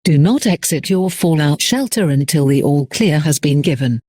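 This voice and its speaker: Higher-pitched man reading emergency announcements. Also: expressive, normal speed, darkish tone, no echo, studio quality.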